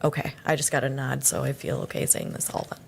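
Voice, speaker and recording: Low, gravelly woman thinking aloud. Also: flat delivery, quick pace, a little hiss or hum. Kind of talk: discussion at a public meeting.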